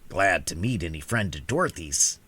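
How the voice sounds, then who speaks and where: A man's voice: low voice, expressive, moderate pace, a bit bright, husky, pitched below the performer's own voice, heavily acted. A man voicing a big, timid lion in a storybook reading, grave and polite.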